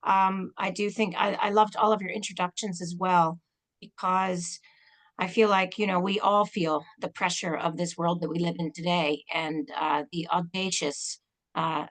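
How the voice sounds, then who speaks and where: A woman's voice: on the low side, fairly even intonation, measured pace, fairly bright tone. A woman hosting an online reading over a video call, thanking the readers effusively with a few fillers.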